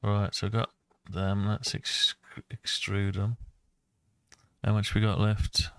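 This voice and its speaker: A man's voice: lowish voice, monotone, takes it slowly, a bit bright, clean tone, dry recording. A man giving a casual think-aloud tutorial, muttering to himself as he talks through each step. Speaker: host of a CAD design tutorial.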